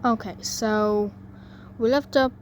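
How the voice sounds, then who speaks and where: A woman's voice: a little high, fairly even intonation, slow pace. A woman narrating a story in an amateur reading, stumbling over words and rereading them. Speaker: fan fiction reader. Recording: noisy amateur home recording.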